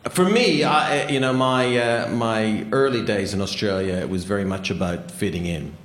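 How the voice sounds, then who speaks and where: A man's voice: fairly low pitch, moderate pace, fairly bright tone, reverberant. A man from Canada moderating a panel talk, speaking loosely and a little hesitantly with some self-deprecating humour.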